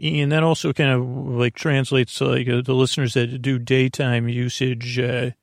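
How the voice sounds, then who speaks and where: A man's voice: medium pitch, flat delivery, normal speed, creaky, no room sound, clean studio sound. A man hosting a sleep podcast, rambling in a casual, easygoing way and often stumbling over his words. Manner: easygoing, companionable.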